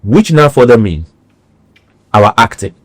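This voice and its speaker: A Nigerian man speaks as an interview guest with a Nigerian accent. He sounds middle-aged and earnest, and he often repeats a phrase for weight. His voice sits at an ordinary middle pitch for a man. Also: big pitch swings, measured pace. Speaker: actor, podcast guest. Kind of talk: conversational interview.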